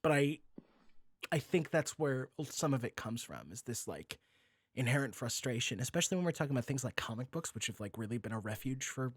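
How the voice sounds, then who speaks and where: A man hosting a casual podcast, talking in an animated, rambling way and swearing freely. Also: medium pitch, fairly quick, slightly rough, dry recording, clean studio sound. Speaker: podcast host.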